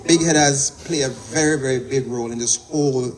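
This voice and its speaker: A man with an average-pitched voice co-hosts the interview. He asks long, rambling, run-on questions and doubles back on his words. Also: brisk, rough recording. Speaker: co-host asking questions.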